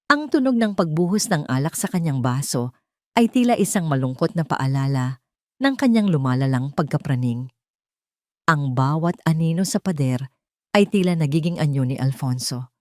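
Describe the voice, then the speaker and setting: A woman's voice: low-pitched, lively intonation, moderate pace, a little mellow, dry recording, clean studio sound. A woman with a Filipino accent narrating a scripted dramatic story.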